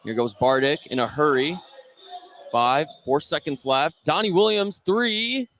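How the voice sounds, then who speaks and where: A man's voice: on the high side, a little slow, fairly bright tone. An American man doing live play-by-play radio commentary of a basketball game. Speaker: play-by-play announcer. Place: indoor high school basketball gym. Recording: live gym noise behind the voice. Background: gym ambience, bouncing basketball.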